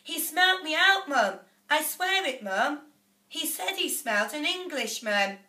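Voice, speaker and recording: A woman voicing an excitable, whiny young boy, talking high and breathless. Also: takes it slowly, full character voice. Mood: excited, scared. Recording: echoey room, off-mic.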